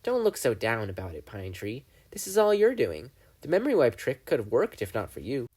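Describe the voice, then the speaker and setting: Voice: mid-range voice, wildly swooping pitch, fairly quick, darkish tone, slightly rough. A reader voicing a gleeful, menacing male triangle dream demon who taunts and mocks, in a fan fiction audiobook. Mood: gloating. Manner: taunting, theatrical, mocking.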